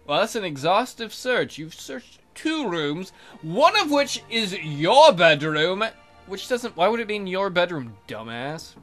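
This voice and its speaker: Man, let's play host, high pitch, lively intonation, casual crude commentary, reading aloud, sarcastic, light background music. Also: a little slow. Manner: sarcastic, sweary.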